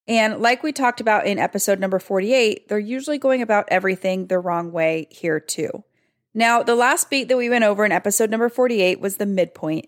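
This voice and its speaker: A woman hosting a writing podcast, a little hoarse, explaining story structure in clear, upbeat, scripted steps. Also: mid-range voice. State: slightly hoarse. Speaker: podcast host and writing coach.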